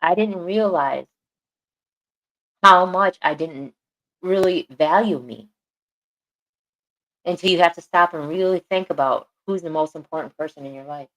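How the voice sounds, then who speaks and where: A woman's voice: lowish voice, measured pace, long pauses, fairly bright tone, poor audio. A woman co-hosting a podcast, talking earnestly and repeating phrases for emphasis. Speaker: podcast co-host. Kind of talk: casual podcast chat.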